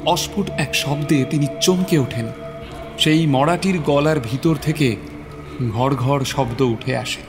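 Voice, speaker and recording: A man's voice: fairly high pitch, measured pace, over music. A man narrating a horror story in a theatrical, suspenseful style.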